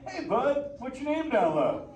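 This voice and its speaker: A man's voice: very high voice, sing-song, a little slow, darkish tone, exaggerated voice. A man voicing a laid-back, slangy surfer-dude male sea turtle, talking playfully with a live audience. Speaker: live show character performer. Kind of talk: live interactive audience show. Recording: echoey theater from far off, noisy.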